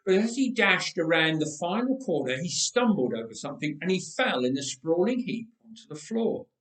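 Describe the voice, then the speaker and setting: A man reading a dramatic story aloud with measured, emphatic delivery and dramatic pauses. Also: fairly high pitch, sing-song, fairly bright tone. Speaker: storyteller, reader. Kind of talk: dramatic scripted story narration. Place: roomy church interior. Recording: echoey church, distant microphone.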